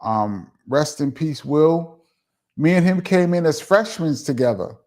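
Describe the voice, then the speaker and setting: A man's voice: on the high side, expressive, unhurried, darkish tone. A middle-aged man from Brooklyn with a New York accent, casually hosting a live stream and answering viewer questions in a laid-back, chatty way. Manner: laid-back, chatty, laughs and says um often. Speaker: host of a live chat show.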